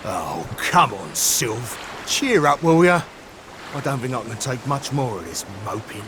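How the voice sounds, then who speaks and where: A gruff, hearty sailor talks bluntly in a game cutscene, peppering his speech with coarse slang. His pitch rises and falls a lot while he keeps an unhurried pace. Faint surf can be heard behind him. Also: big pitch swings, noisy background. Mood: scolding. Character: gruff salt-of-the-earth male sailor, adult. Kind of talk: video game cutscene voice acting.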